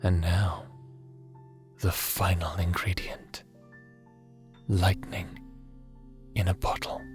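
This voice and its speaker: A man acts out a calm, quietly scheming god of the underworld in an ASMR audio drama. His very deep voice moves slowly, gentle and reassuring, over faint music. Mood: focused, murmuring. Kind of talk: intimate ASMR roleplay acting.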